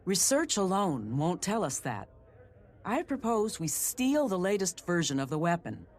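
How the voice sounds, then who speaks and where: An actor playing a sly, self-assured secret agent in a fantasy video game, talking in a wry, confident manner. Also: male voice, high voice, very animated, unhurried. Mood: persuasive. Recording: game music behind the voice.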